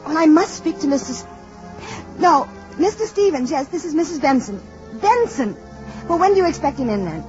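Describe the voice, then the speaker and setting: Anxious, pleading woman, high-voiced, old radio. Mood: urgent. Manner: urgent, imploring. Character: anxious, earnest woman pleading to protect a stranger. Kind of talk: 1950s radio drama acting. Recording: dull old radio recording with music.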